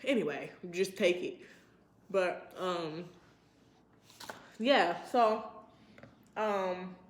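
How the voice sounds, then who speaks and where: A woman's voice: lowish voice, drawn-out words. Rambling young woman in an echoey room. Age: 20s. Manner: rambling, reflective, informal. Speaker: vlogger. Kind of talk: casual chat to camera. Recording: roomy, echoey sound.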